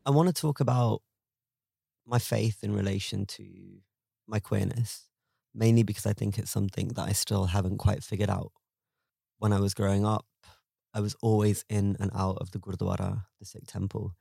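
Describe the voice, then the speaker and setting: A man in his twenties tells a personal story, thoughtful and a touch hesitant. His voice is low and gravelly with a mellow tone. The recording is dry and close. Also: fairly even intonation, normal speed, dry recording. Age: 20s. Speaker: guest storyteller.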